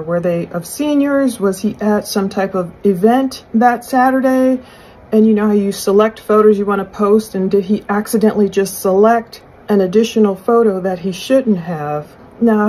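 A middle-aged woman giving conversational true crime commentary in a husky voice, explaining and speculating as she poses questions aloud. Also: fairly high pitch, a little mellow, low-quality recording. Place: small furnished room indoors.

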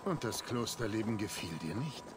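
A sardonic, lively-toned assassin. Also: male voice, lowish voice, normal speed, noisy background. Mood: dry, mocking. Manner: dry, sardonic. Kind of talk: dramatic video game dialogue.

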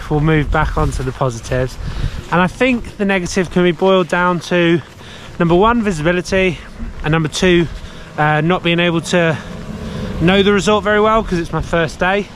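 A man's voice: on the high side, a bit bright, slight background noise. A man in his thirties vlogging casually to an action camera held at arm's length, outdoors in a snowy mountain village, chatty and upbeat with frequent filler words. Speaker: snowboarding vlogger.

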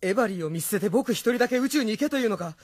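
A man's voice: sits high, expressive, very fast, no room sound, studio quality. An actor playing a hot-headed, idealistic young man in an audio drama, earnest and agitated. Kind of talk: Japanese audio drama acting.

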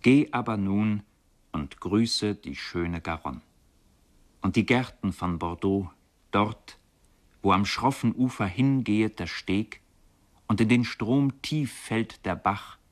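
Male narrator, low register, measured formal delivery of literature and verse for a radio feature. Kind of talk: literary narration and poetry recitation.

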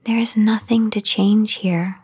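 A woman guiding a sleep meditation in a soft, breathy half-whisper, soothing and unhurried, with long pauses. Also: medium pitch, steady tone. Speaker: meditation guide. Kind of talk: guided sleep meditation narration, soft-spoken. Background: voice only, no music or background noise.

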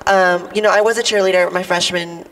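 A young woman with a low voice, a little sick, performing a stand-up comedy monologue with playful, teasing asides to the crowd. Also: steady tone, a little fast, fairly bright tone. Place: outdoors on building steps at a podium. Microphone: podium mic. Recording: distant outdoor mic, faint noise.

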